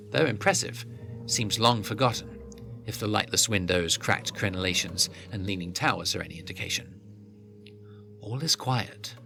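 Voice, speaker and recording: A man narrating a fantasy audio drama from a script, with a measured storytelling delivery. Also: fairly low pitch, creaky.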